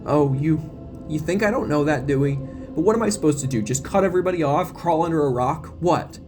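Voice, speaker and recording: A man's voice: on the high side, fairly quick, close to an everyday voice. A fiery, guarded young woman at college , acted out by a man. It is an audio drama performance with music behind the voice. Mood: distressed.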